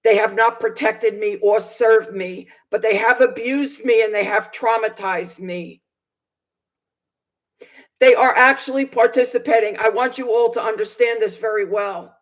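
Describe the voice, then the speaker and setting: A woman's voice: fairly high pitch, steady tone, unhurried, rough recording. A woman in her sixties giving personal testimony to viewers in an aggrieved, emphatic manner, repeating phrases for stress. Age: 60s. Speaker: vlogger recounting personal experience.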